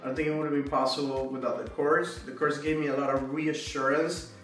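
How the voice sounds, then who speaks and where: A man's voice: fairly high pitch, little pitch movement, brisk, fairly bright tone. Man in an echoey distant recording. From Miami. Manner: casual, reflective, frequent "right?" and "you know". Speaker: course student giving a testimonial. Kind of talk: testimonial interview. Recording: echoey room, distant mic, faint music.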